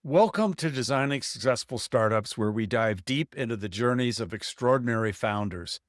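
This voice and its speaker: A man's voice: mid-range voice, lively intonation, moderate pace, dry recording, clean studio sound. A man hosting a startup podcast, reading a polished intro and then chatting casually with his guest. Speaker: podcast host. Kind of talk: scripted intro then casual interview chat.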